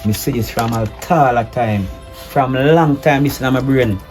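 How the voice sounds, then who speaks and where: A man playing a hurt, betrayed husband, speaking low and measured, then forcefully. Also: faint music behind, lightly acted. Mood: stern. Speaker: husband in a domestic drama skit. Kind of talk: improvised-sounding skit acting.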